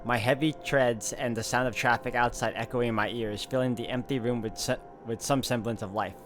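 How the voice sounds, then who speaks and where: A man's voice: medium pitch, steady tone, fairly quick, slight background noise. A man hosting a let's-play, reading visual novel narration aloud and adding casual commentary. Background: loud music.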